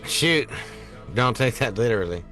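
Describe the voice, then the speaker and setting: A man acting out a cocky, ribbing fellow in a crime film script. His voice is mid-pitched and conversational. He needles people with sly, casual confidence. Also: lively intonation, normal speed, a bit bright, faint music behind, close to an everyday voice. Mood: dry, sly. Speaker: actor at a table read. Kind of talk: film script table read.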